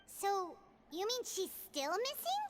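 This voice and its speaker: Tiny chatty fairy sidekick from a game, squeaky high, bouncy childlike delivery. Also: female voice, slow pace, music in the background, full character voice. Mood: concerned. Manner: refers to herself by name, expressive and childlike. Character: small childlike floating fairy companion, chatty and curious. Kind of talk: video game voice acting.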